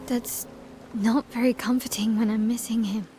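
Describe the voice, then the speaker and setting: An actress playing a sorrowful, vulnerable young woman in a video game cutscene, hushed and hesitant. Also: fairly high pitch, little pitch movement, normal speed, soft background music, natural voice.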